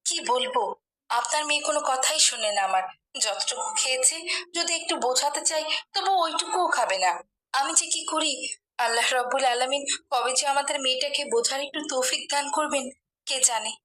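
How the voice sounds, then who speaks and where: Brief: female actor as long-suffering devout cartoon mum, bright tone, some background noise. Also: sits high, fairly quick, poor audio. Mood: exasperated. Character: pious, worried, long-suffering mother in a moral cartoon. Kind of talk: cartoon dialogue.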